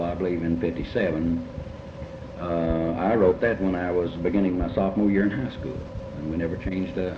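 A middle-aged man from eastern Kentucky with an Appalachian accent, reminiscing in an interview in a halting, reflective way with many pauses. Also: low-pitched. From eastern Kentucky, United States. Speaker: writer being interviewed. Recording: muffled, noisy, roomy amateur tape.

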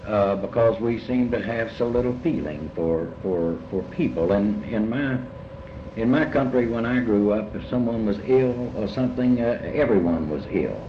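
An older man speaking reflectively in an interview about his life, halting and often repeating words as he rephrases. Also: on the low side, lively intonation. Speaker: interviewee recalling early life. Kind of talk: reflective oral history interview. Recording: muffled, noisy, echoey room recording.

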